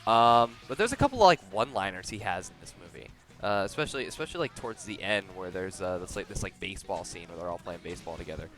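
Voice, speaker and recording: Young guy chatting through a review, bright voice, keeps restarting words, right up on the mic, faint music behind. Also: low voice, animated. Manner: halting, repeats and restarts words often. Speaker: video reviewer. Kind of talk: casual movie review chat. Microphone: very close to the mouth. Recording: close, hot microphone.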